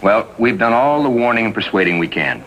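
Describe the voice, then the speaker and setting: An actor playing a decisive, hard-line police captain in 1950s TV drama style, curt and commanding. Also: male voice, animated, brisk, fairly bright tone. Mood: decisive, firm. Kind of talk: 1950s TV crime drama acting. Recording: noisy old TV soundtrack with rumble.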